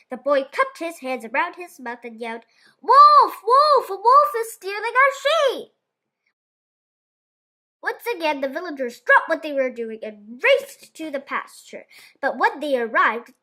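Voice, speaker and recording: A woman storyteller reads a children's book aloud. Her voice is high and bright, with lively, wide-swinging intonation that gives the narration plenty of expression. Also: normal speed.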